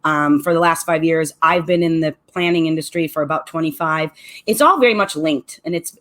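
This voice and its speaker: A middle-aged woman, a restaurant owner and event planner, answering radio interview questions in an articulate, measured way. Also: fairly low pitch.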